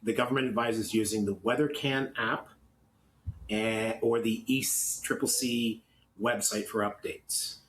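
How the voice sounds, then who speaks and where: A Canadian man co-hosting a podcast, talking casually and with opinion, in a halting, rambling way with self-corrections. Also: on the low side, fairly even intonation, a bit bright, slight background noise, rough recording. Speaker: podcast co-host. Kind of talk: opinionated casual podcast talk, partly reading notes.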